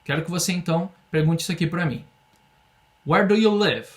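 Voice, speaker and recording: A young Brazilian man teaches an online English class, walking students through phrases with patience. He slows way down to model each phrase for repetition. His voice sits a little high for a man. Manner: patient, explains slowly, drills phrases for repetition. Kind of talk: online language lesson.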